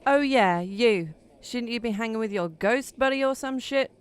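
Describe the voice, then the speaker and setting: A woman's voice: on the high side, normal speed, clean tone, close to an everyday voice. A woman playing a guarded, sarcastic but kind young woman in an audio drama, speaking in a casual, wry way.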